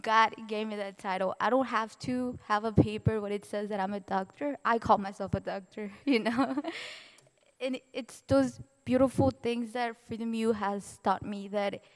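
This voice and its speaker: A young woman from Mexico, a Spanish native speaker, giving an earnest, heartfelt personal testimony as a student speaker, halting now and then to restart. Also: on the high side, steady tone. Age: young adult. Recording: distant voice in a reverberant hall.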